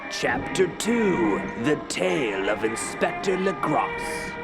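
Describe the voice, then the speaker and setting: A man playing an ominous, deliberate announcer introducing chapters and breaks in a radio drama. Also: a little high, sing-song, gravelly, loud music behind the voice, full character voice.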